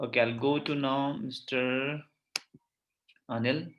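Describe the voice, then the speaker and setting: A man's voice: medium pitch, steady tone, slow pace, a bit bright, lo-fi sound, slight background noise. A man running an online workshop, calling on participants one by one and thanking each in short, repeated phrases. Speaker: workshop trainer.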